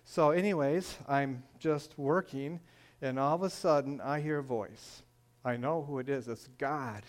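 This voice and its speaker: An older man with a Michigan accent shares his testimony at a middle pitch. He tells it earnestly, like a story, pausing often on "uh" and "um" as he goes. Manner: earnest, storytelling, with frequent fillers. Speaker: church testimony speaker. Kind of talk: personal testimony.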